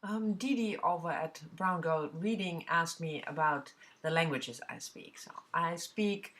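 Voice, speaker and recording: A woman's voice: on the low side, a little slow, fairly bright tone. A middle-aged woman from southwest Germany with a German accent, chatting casually to camera as a book vlogger, with frequent fillers and restarts.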